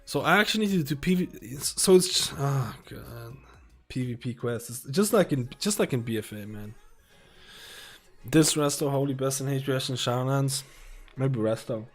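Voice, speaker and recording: A man's voice: medium pitch, lively intonation. Casual male streamer reading aloud. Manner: reads aloud haltingly, restarts words, casual asides. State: losing his voice. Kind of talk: live-stream chat, reading a guide and viewer questions aloud.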